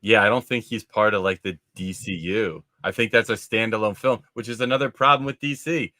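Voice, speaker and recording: A man's voice: fairly low pitch, fairly quick, pushed and bright, no room sound, clean studio sound. A man hosting a casual discussion show, chatting informally and steering the conversation. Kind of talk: casual movie discussion chat.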